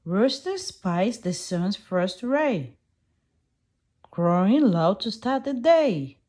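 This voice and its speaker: A woman reading a rhyming children's farm book aloud in a slow, gentle way. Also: mid-range voice, very animated. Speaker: storybook reader.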